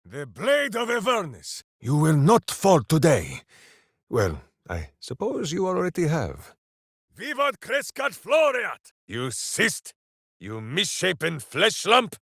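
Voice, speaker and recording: An actor playing a big-hearted, boisterous, simple-minded heroic ranger in a video game, declaiming theatrically. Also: male voice, high-pitched, wildly swooping pitch, takes it slowly, fairly bright tone, husky, no echo, studio quality, full character voice. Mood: booming, heroic. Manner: theatrical, declaiming, speaks of himself in third person. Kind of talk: video game character voice lines.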